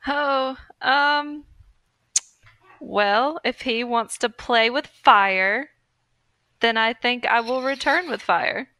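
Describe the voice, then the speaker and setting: A woman's voice: fairly high pitch, slow, sharp tone, no room sound, rough recording. A young woman chatting casually as a player in a tabletop game. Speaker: player and podcast host.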